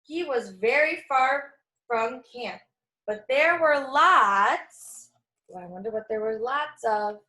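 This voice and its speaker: A woman reads a picture book aloud to young children in a high-pitched voice. She takes her time, telling it expressively like a teacher at storytime. The room around her is noticeably echoey. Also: expressive, slow, sharp tone.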